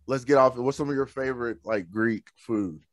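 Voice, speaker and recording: A man's voice: medium pitch, moderate pace, no echo. A man from Oklahoma hosting a podcast interview, talking in a laid-back, slangy, upbeat way into a studio microphone. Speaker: podcast host. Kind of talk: casual interview chat. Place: home studio room with shelves. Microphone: studio microphone on a boom arm.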